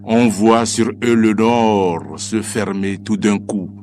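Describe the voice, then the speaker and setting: A man recites poetry in a solemn, declamatory way, with measured phrasing and deliberate pauses. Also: on the low side, fairly even intonation, darkish tone. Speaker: poet reciting own poem. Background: music.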